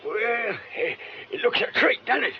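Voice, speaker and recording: Casting: ingratiating working-class watchman, animated and eager, muffled radio drama sound with some hiss. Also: high voice, unhurried, gravelly, full character voice. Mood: chummy. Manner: hesitant, chummy, ingratiating.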